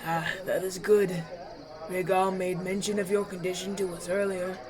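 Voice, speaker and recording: A man's voice: high voice, little pitch movement, moderate pace, a crowd in the background, natural voice. A man playing a gracious, benevolent elven elder in a fantasy audio roleplay, speaking in a ceremonial, kindly way. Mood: welcoming.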